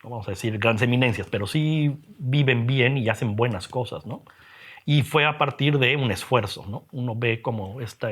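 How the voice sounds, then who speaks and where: A middle-aged man with a Mexican accent, talking reflectively in an interview, haltingly and with frequent tag questions. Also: mid-range voice. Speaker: interviewed graphic designer and teacher. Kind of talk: reflective personal interview talk.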